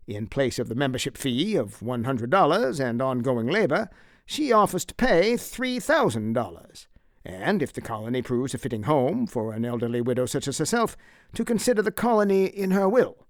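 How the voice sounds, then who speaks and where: An earnest male leader, voiced by a narrator, chairs with formal courtesy and deliberate phrasing. His voice rises and falls widely with a creaky edge, in a dry audiobook recording. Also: mid-range voice, very animated, no room sound. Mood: explanatory. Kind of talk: audiobook character acting.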